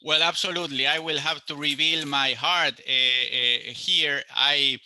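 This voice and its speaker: An economist from Argentina with an Argentine Spanish accent, answering interview questions in English and often stopping with fillers and repeated words. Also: male voice, fairly high pitch, fairly even intonation, unhurried, pushed and bright, dry recording. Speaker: economist guest.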